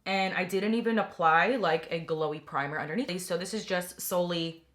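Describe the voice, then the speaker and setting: A young woman talking through a makeup product review in a chatty, enthusiastic way, her voice slightly raspy from a recent cold. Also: lowish voice, normal speed, fairly bright tone. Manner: chatty, enthusiastic, many "like" fillers. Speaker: beauty vlogger.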